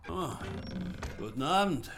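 An actor playing a grudging, suspicious cheap-hotel porter in a radio play, speaking hesitantly. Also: male voice, fairly high pitch, expressive, unhurried, creaky, over loud music. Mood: wary. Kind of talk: German radio play acting.

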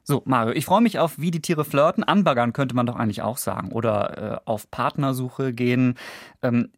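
A man's voice: mid-range voice, a little fast, dry recording, studio quality. A man hosting a radio podcast, chatting playfully with a guest and using many fillers. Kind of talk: casual radio chat.

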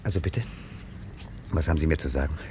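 A nervous, guilt-ridden male thief in an old radio crime play. He speaks in a very low voice at a brisk clip, defensive and hesitant, with faint noise on the vintage recording. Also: dark tone. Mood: guarded. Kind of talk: 1960s German radio crime drama acting.

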